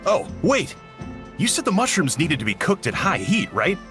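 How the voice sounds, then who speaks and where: A man's voice: a little high, huge pitch swings, music in the background. A man voicing an eager, helpful young villager in a video game. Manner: eager, quick.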